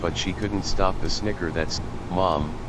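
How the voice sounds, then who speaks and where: A man's voice: sits low, fairly even intonation, unhurried, very noisy. A man reading visual novel narration, character names and dialogue aloud. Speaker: reader of visual novel text. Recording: loud music under the voice. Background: loud music.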